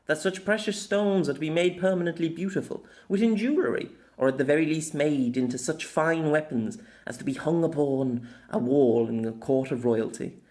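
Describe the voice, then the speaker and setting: A haughty young noblewoman of a fantasy audiobook, acted out by a man, pitched well up high. She speaks theatrically, with indignant, accusing airs and swooping emphasis. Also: a little mellow, lightly acted.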